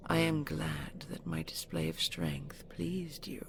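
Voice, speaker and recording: A woman playing a possessive, seductive dragon queen in an intimate audio roleplay, slow, doting and imperious, with a breathy, gravelly whisper. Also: low voice, big pitch swings, exaggerated voice. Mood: tender, pleased.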